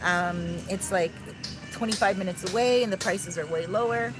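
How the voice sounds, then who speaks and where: A woman's voice: mid-range voice, measured pace, low-quality recording. Chatty woman filming on her phone. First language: English. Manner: chatty, reads viewer messages aloud, mixes in simple Spanish. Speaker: vlogger on a livestream. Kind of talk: casual livestream chat. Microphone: handheld phone. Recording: loud music behind.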